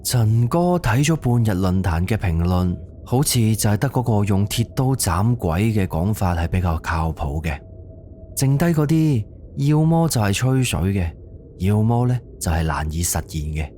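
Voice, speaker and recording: A man's voice: lowish voice, lively intonation, normal speed, mellow, slight background noise. A man with a Cantonese accent narrating a horror mystery audio drama in a dramatic storytelling style.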